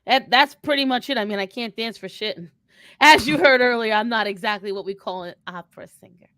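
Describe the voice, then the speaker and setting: A woman's voice: a little high, a little fast, sharp tone, smooth, no room sound. A woman playing a brash, sardonic twenty-two-year-old character in an improvised Q&A, blunt, sarcastic and foul-mouthed. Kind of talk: improvised in-character question and answer.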